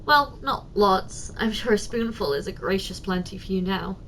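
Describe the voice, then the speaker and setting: A woman playing a giant, doting, teasing office secretary in an ASMR roleplay, speaking softly and coaxingly straight to the listener. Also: medium pitch, moderate pace, a little hiss or hum, lightly acted, poor audio. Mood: playful, curious. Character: giant, doting, teasing female office secretary, tender toward tiny boss.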